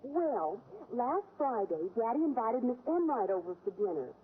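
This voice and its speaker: An actress playing a chatty, eager high-school girl in an old radio sitcom, earnest and gushing. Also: sing-song, moderate pace. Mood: chatty, confiding. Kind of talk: 1940s-50s radio sitcom acting. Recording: tinny vintage radio recording, faint noise.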